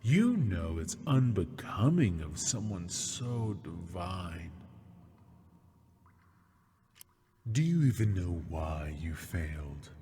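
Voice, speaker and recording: A man playing a seductive, taunting demon prisoner in an ASMR roleplay, husky and breathy, teasing in mock-sweet tones and often sinking toward a whisper. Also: low-pitched, darkish tone, full character voice. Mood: smug, tempting. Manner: slow, teasing, mock-sweet, lingering on words. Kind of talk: ASMR roleplay, scripted monologue. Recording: long hall-like reverb.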